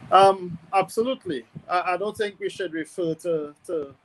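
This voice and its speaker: A man giving conversational sports analysis as a guest, hesitant and measured as he thinks aloud. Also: high voice, low-quality recording. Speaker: football pundit guest.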